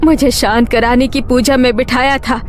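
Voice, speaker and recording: Woman playing a high-voiced, wronged village woman. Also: steady tone, normal speed, a little hiss or hum, close to an everyday voice. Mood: bitter, accusing. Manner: accusing. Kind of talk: dramatic horror story acting.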